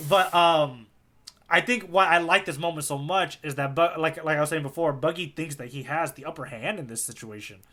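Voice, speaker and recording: A man co-hosting a podcast, casually explaining things in an animated way and often checking in with "right?". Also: fairly high pitch, a little fast. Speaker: podcast co-host explaining a manga fight.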